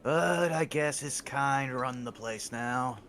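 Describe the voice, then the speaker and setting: A man's voice: medium pitch, slow pace, a bit bright. A man voicing a wry, defiant drifter in a frontier space bar, live while playing a game. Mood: scornful. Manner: scornful and laid-back.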